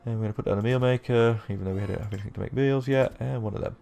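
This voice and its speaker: Croaky low-voiced man narrating gameplay. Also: rapid-fire, mellow. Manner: rambling, self-deprecating, with many fillers. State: croaky from a sore throat. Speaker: gaming YouTuber. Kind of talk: casual let's-play commentary.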